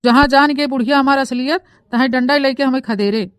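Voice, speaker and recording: A woman's voice: sits high, fairly even intonation, a little fast, no echo, close to an everyday voice. A woman voicing a scheming, grumbling young village bride with an eastern Uttar Pradesh accent in a comic cartoon. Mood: panicked, lamenting. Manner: melodramatic, grumbling. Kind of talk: comic cartoon story dialogue in rustic dialect.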